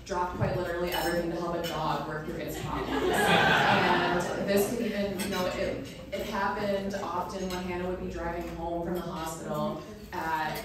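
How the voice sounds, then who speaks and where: A woman's voice: lowish voice, little pitch movement, normal speed. A woman in her thirties giving a chatty, emotional bridesmaid toast at a standing mic, with many ums and bursts of laughter. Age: 30s. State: emotional, near tears. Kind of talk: wedding toast read from a phone. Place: small indoor reception room. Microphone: standing mic, filmed from across the room. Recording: echoey room from a distant camera, noisy crowd.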